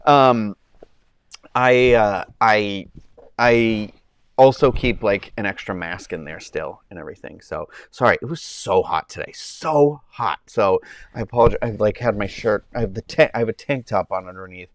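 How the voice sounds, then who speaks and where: A man's voice: on the low side, moderate pace, no room sound. A man hosting a casual live stream, nasal and congested from a summer cold, rambling with lots of ums and restarts. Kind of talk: casual chat with live chat viewers. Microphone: clip-on mic under his shirt.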